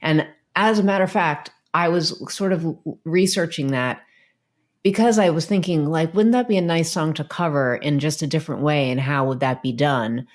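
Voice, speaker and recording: A woman's voice: low voice, normal speed. A woman co-hosting a music podcast in casual, conversational discussion.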